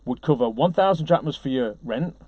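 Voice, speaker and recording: A man's voice: mid-range voice, expressive, brisk, darkish tone, slightly rough, no room sound. A man being interviewed as a DJ, telling casual anecdotes in a chatty, self-deprecating way.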